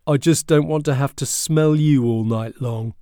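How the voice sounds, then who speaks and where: A man's voice: mid-range voice, moderate pace, warm tone, no echo, clean studio sound, voice pushed up, natural voice. A man voicing a boastful boy who mocks and taunts his stepbrother in a storybook reading. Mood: mocking. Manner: taunting, sneering.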